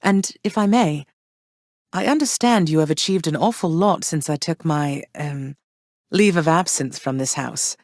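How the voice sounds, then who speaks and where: A gracious underworld queen and loving mother, speaking in a lowish, calm voice with warm reassurance. Her lines are polished game dialogue recorded dry and close, with no room sound. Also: unhurried, dry recording. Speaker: game character.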